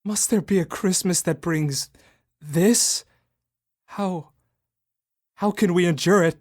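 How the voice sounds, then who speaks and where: A man's voice: sits high, takes it slowly. A young man playing a remorseful old miser who pleads with a spirit in an anguished voice. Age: young adult. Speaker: Ebenezer Scrooge. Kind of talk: student radio drama.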